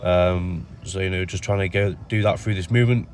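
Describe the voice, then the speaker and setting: A man with a nasal voice from a cold, explaining his gym workout in a relaxed voice-over full of ums. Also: low voice, a little fast, a little hiss or hum. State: has a cold. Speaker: bodybuilder narrating his workout. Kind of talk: casual voice-over commentary.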